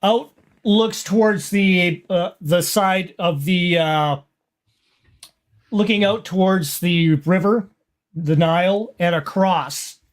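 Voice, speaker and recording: Game master guy describing the scene, slow and halting. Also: high voice. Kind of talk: narrating a tabletop game.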